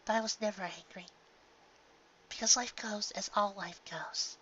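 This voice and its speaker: A woman voicing a gentle, grieving young pony mare in a fan audio drama, with a soft, earnest, emotional delivery. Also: mid-range voice, moderate pace, natural voice. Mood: sorrowful. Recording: dull lo-fi home recording, clear background noise.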